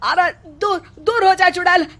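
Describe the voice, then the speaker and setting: A woman voicing a blustering, alarmist village elder in a Hindi cartoon story, with drawn-out dramatic delivery. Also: very high-pitched, fairly even intonation, pushed and bright, heavily acted. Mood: ominous, alarmist. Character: village headman and fake holy man, blustering, alarmist.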